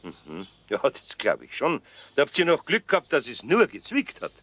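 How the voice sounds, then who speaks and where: An older actor playing a kindly, sly old master carpenter in a children's radio play, with folksy, chuckling speech. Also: male voice, medium pitch, wildly swooping pitch, moderate pace, fairly bright tone. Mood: friendly.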